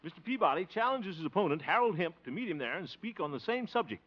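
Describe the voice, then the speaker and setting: An actor playing a blustery, self-mocking small-town candidate for mayor in a 1950s radio sitcom. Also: male voice, high-pitched, sing-song, fast, highs cut off. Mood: flustered. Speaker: lead comic actor. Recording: restored 1950 radio broadcast, hiss.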